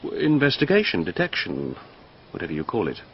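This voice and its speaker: Deep-voiced, aloof garden designer. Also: male voice, wildly swooping pitch, fairly quick, full character voice. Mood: wry, knowing. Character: aloof, vain, languid male garden designer. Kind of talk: radio drama acting. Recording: dull lo-fi radio drama, noisy.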